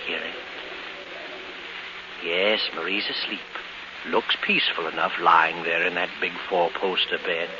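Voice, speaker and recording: An actor playing a sinister, taunting narrator of a 1940s radio mystery, ominous and dramatic. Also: male voice, low-pitched, steady tone, unhurried, pauses often, noisy background. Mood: hushed, ominous. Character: sinister omniscient mystery narrator, gloating over the victim. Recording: muffled 1940s radio recording, loud music behind.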